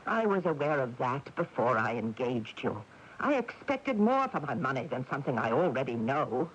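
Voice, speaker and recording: A woman's voice: extremely low pitch, very animated, moderate pace. An actress playing a proud, anxious woman in her seventies with an emphatic, snappish manner, in a radio drama. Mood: defiant. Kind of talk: 1980s radio drama acting. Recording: dull, hissy 1980s AM radio recording.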